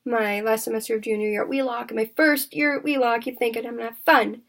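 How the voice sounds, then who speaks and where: A young woman in her twenties is talking openly with her vlog audience. Her voice sits high and has a gravelly, raspy edge. She speaks in halting stretches, full of fillers and restarts. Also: steady tone. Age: 20s. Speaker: vlogger. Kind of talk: candid personal vlog chat.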